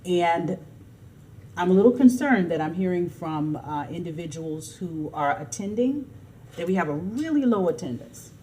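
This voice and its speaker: Low-voiced woman commissioner, earnest. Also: expressive, mellow, slight background noise. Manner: earnest, measured questioning. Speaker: city commissioner. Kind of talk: public meeting remarks. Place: city council chamber.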